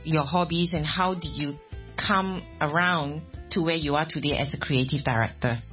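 An inquisitive co-host puts measured questions to the guest. The audio is a bit dull, and faint music plays underneath. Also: mid-range voice, fairly bright tone. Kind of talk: interview hosting.

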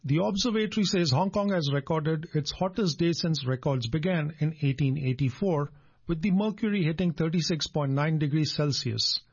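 A man's voice: fairly high pitch, moderate pace, slightly rough, muffled recording. A man reading a scripted radio news bulletin as the presenter.

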